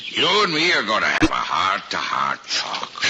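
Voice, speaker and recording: A man's voice: low voice, wildly swooping pitch, moderate pace, music in the background, heavily acted. An actor playing a kindly, fatherly working-class cab driver with an American accent and folksy, colloquial speech in a mid-century screen drama. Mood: gentle, fatherly. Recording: muffled, noisy old archival film soundtrack.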